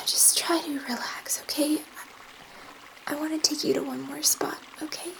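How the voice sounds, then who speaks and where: A woman playing a gentle, awed alien scientist in a whispered ASMR sci-fi roleplay, speaking tenderly and reassuringly with halting pauses in a soft, breathy voice. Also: high-pitched, flat delivery, rough recording. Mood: excited, reassuring.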